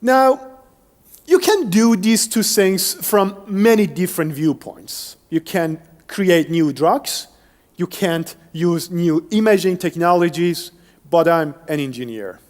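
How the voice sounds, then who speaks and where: A man's voice: high voice, slow pace. A male engineer who grew up speaking Persian, giving an explanatory academic lecture with occasional restarts. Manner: explanatory, steady, some restarts. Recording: long hall reverb, faint music.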